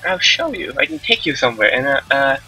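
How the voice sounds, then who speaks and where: A man's voice: medium pitch, wildly swooping pitch, fast talker, vocal fry, bright, forceful tone, breathy edge, full character voice, rough recording. A man voicing a flustered, guarded young spirit girl in a live visual novel dub, with a prim, questioning delivery. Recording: music playing behind the voice.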